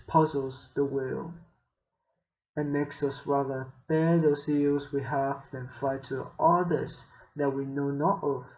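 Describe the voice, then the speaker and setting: Male actor as melancholy Shakespearean prince, pensive soliloquy, slow measured delivery, dull lo-fi recording. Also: fairly high pitch, a little mellow.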